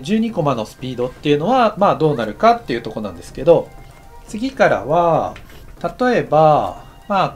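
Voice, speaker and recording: Animated man explaining animation timing. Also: fairly high pitch. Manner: lively, acts out sounds and footsteps. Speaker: anime director teaching a course. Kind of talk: casual tutorial narration.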